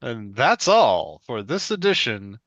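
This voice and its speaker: A man playing a courteous, measured TV court show reporter in a live comedy table read. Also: mid-range voice, very animated, a bit bright, no room sound, dull audio, full character voice. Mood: polished. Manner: measured, presenterly.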